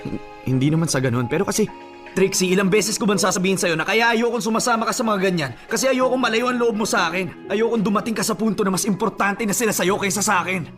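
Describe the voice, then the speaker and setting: A man's voice: high voice, fast talker, fairly bright tone. A man playing a jealous, possessive boyfriend in a radio drama, hurt and insistent as he questions and pleads. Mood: defensive pleading. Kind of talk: Filipino radio drama acting. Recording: music bed under the voice.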